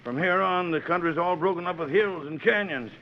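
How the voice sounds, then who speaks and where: An actor playing a hard-driving, gruff frontier sheriff commanding a posse in a 1940s radio western. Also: male voice, sits high, fairly quick. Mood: grim. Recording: dull noisy 1940s radio recording.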